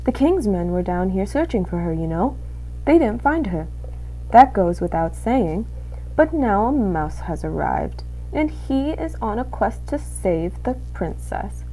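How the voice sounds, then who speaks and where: A sly male rat villain from a storybook, acted out by a woman reader. The voice is mellow and swoops widely as it oozes false courtesy and drawn-out insinuation. There is a faint noise behind it. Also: medium pitch, very animated, poor audio. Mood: oily, mock-friendly.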